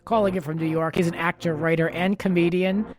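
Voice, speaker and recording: A man's voice: fairly high pitch, fairly even intonation, fairly quick. A man hosting a podcast in casual chat, upbeat and informal, often saying "like". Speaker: podcast host.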